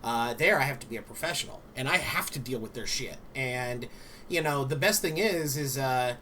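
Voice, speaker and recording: A man co-hosting a streamer talk show, telling animated stories in casual chat with many fillers and some swearing. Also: mid-range voice, moderate pace, a little hiss or hum.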